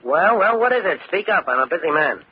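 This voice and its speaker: An actor playing a gruff, impatient company tycoon in an old-time radio drama, curt and domineering. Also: male voice, on the high side, very animated, a little fast, full character voice. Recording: muffled old radio recording.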